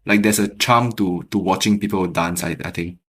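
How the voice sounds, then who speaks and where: A man with a Singaporean English accent, hosting a casual interview chat in a relaxed, chatty way. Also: low-pitched, normal speed, husky. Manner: relaxed, chatty, laughs easily, repeats words. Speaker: podcast host.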